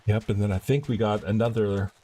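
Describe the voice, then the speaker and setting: A man's voice: on the low side, steady tone, a little fast, warm tone, husky. A man chatting casually as he live streams a video game. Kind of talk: casual chat while playing.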